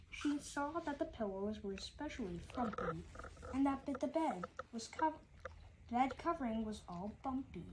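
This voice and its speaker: A woman's voice: high voice, background noise. A woman reading a rhyming children's story aloud, often stumbling and repeating words as she goes.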